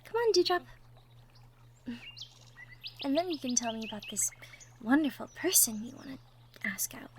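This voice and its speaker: A woman voicing a sweet, shy young cottage girl in love, gentle and stammering, in a girlfriend roleplay audio drama. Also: high voice, expressive, normal speed, long pauses, background noise. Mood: gentle, concerned. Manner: stammering, gentle, breathy asides.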